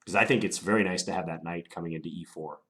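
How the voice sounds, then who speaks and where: A man with a very low voice, stuffed up from a cold, talks himself through his chess moves. He sounds deliberate and musing, weighing each option out loud. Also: a little hiss or hum. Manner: deliberate, musing, unhurried analysis. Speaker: chess player commentating his own game. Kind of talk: thinking aloud while playing.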